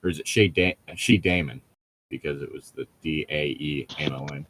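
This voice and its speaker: A man's voice: extremely low pitch, normal speed, a bit bright, slightly rough. A man in casual conversation as a co-host, telling stories in a sardonic, exasperated way. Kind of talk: casual chat.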